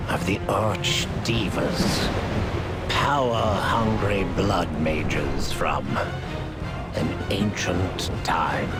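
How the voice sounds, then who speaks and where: Grave slow-spoken male narrator. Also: fairly low pitch. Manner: grave and deliberate. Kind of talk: scripted epic narration. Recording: loud orchestral game score behind.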